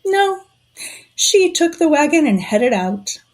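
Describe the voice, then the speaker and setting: A woman playing a shrewd, suspicious conspirator in a period audio drama, telling things in a knowing, deliberate way. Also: high-pitched, big pitch swings, darkish tone, exaggerated voice, rough recording.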